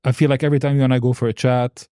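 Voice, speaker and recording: A man's voice: medium pitch, flat delivery, rapid-fire, warm tone, no echo, studio quality. A man hosting a podcast in a casual, informal and rambling interview chat. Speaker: podcast host.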